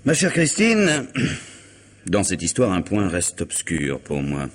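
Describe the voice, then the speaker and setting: Urbane radio-play lawyer, deep voice with rasp, formal diction, composed and inquisitive. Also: male voice, wildly swooping pitch, exaggerated voice. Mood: wry, insistent. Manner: measured, formal, questioning. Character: urbane, probing male lawyer and family friend. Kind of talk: vintage French radio drama acting.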